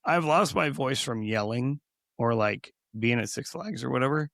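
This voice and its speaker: Hoarse male co-host, gravelly and rambling, easygoing podcast talk. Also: mid-range voice, lively intonation, moderate pace, a bit bright, dry recording, clean studio sound. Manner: rambling, with many restarts. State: hoarse, recovering from lost voice. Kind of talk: casual podcast chat.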